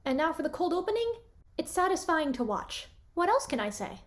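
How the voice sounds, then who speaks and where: A woman's voice: very high voice, very animated, brisk. A woman giving candid, critical review commentary in a conversational, opinionated way. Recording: music bed behind the voice. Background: background music.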